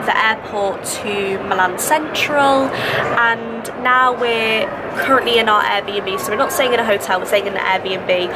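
A woman's voice: mid-range voice, heavy background noise. Stuffy-nosed woman vlogging. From England. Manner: chatty, rambling, self-correcting. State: congested, nasal from a cold. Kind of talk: casual chat to camera. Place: apartment room by a window. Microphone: phone held at arm's length.

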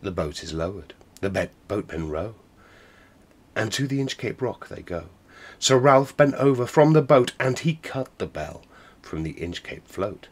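A man storyteller reciting a narrative ballad in a theatrical manner, swelling from hushed to booming. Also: lively intonation, measured pace, slightly rough.

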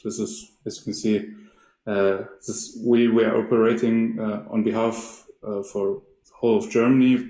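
Man, hesitant, halting, webinar intro, dark mellow voice, roomy echo. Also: lowish voice, level tone. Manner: hesitant, halting with many fillers. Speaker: company representative. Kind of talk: webinar presentation.

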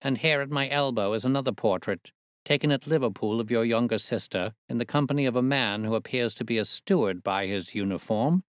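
Male narrator as a gentleman detective, medium pitch, brisk, precise, self-assured, courteous, dry audiobook recording. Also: no echo, lightly acted. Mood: keen, assured.

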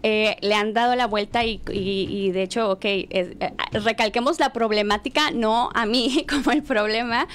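A talkative woman with a slightly high voice, who grew up in the United States. She speaks as a guest in a relaxed group conversation, laughs a lot and often restarts mid-sentence. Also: normal speed, few pauses. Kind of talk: casual panel discussion.

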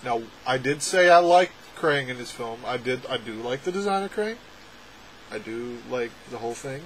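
A young adult man reviewing a film from a room at home, talking sarcastically with animated, exaggerated outbursts. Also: medium pitch, normal speed, a little hiss or hum, low-quality recording. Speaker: movie reviewer. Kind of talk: casual ranting film review. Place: home room with packed shelves, indoors. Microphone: webcam framing at desk.

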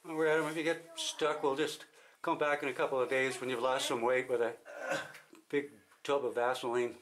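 A man's voice: mid-range voice, little pitch movement, moderate pace, a bit bright. A man leading a caving trip, talking casually as he explores inside a cave. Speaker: cave expedition leader. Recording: echoey cave, audible background noise.

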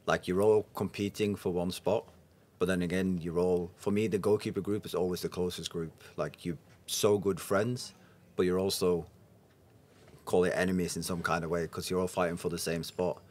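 A young man talks in a very low-pitched voice. He speaks English as an in casual podcast conversation, as a guest who plays football. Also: level tone, normal speed, darkish tone. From Norway. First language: Norwegian. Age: 20s. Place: indoor lounge booth. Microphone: podcast microphone on boom arm.

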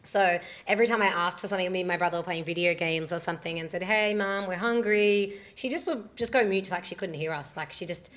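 A woman's voice: lowish voice, fast, few pauses, a bit bright. A woman in her twenties from Australia, telling stories as a guest on a stage panel in a chatty, self-deprecating way. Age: 20s. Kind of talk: casual storytelling on a panel. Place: studio stage with a panel seated in chairs. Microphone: handheld microphone.

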